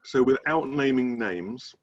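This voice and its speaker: A man's voice: mid-range voice, expressive, unhurried. A middle-aged British man answering as a coach being interviewed on a video call, thinking aloud with restarted phrases. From United Kingdom. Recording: dull, muffled video-call audio.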